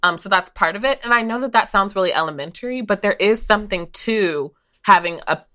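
A woman's voice: mid-range voice, animated, a little fast, sharp tone. A woman talking candidly and informally as a guest in a casual, reflective podcast discussion.

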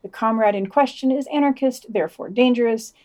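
A woman's voice: fairly high pitch, a little fast, darkish tone. A woman co-hosting a podcast, reading scripted news in a dry, sardonic way with wry, opinionated asides.

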